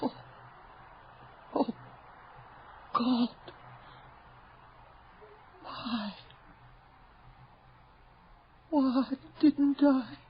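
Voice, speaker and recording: An actor in a radio drama playing an older, anguished father, urgent, halting and full of fear. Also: extremely high pitch, breathy edge, full character voice. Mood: anguished remorse. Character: frightened, anguished father dreading his son's return. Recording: muffled, noisy 1980 radio drama recording.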